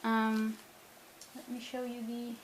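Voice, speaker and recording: A woman's voice: a little high, completely flat delivery, slow, a little hiss or hum, low-quality recording. A woman casually narrating a craft journal flip-through, chatty and gushing, often talking to herself. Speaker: craft video maker. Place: indoors at a table.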